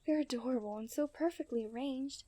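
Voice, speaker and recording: Woman acting a mellow, genteel fairytale princess. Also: high voice, a little fast. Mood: tender, soft. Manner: formal, genteel fairytale phrasing with breathy sighs. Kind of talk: scripted romantic ASMR roleplay.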